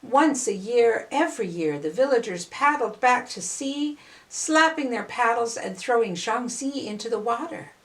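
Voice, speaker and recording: An older woman reading a children's story aloud in an expressive, deliberate way with dramatic pauses. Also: a little high.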